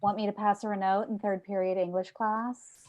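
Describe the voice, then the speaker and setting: Woman acting, scornful coworker, sharp-tongued sarcasm, sitcom table read, dull video-call sound. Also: medium pitch, level tone, normal speed, lightly acted. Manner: sarcastic, biting.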